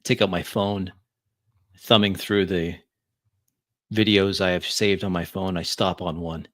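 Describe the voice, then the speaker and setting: Guy narrating a roleplay scene in first person, low voice, unhurried, dramatic short phrases. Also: little pitch movement, no room sound, clean studio sound. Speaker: tabletop roleplay player.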